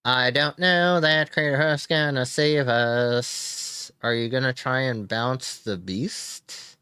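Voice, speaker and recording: A man's voice: medium pitch, takes it slowly, pushed and bright, smooth, no echo. Male streamer, casual play-by-play, dry close sound, talks himself through each turn. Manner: thinks aloud, chuckles, cheers. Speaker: streamer playing a card game. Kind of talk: casual gameplay commentary.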